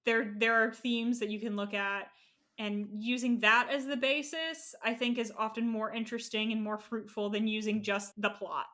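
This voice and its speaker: A woman's voice: a little high, steady tone, normal speed, sharp tone. A woman in a casual discussion, sharing opinionated, analytical takes as a podcast co-host.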